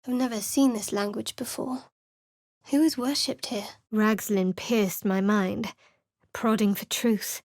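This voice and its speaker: A woman's voice: mid-range voice, steady tone, unhurried, a little mellow, no echo, natural voice. Woman playing a wry, guarded young cleric. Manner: dry, sardonic, clipped. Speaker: game companion. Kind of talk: studio game voice lines. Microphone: close studio mic.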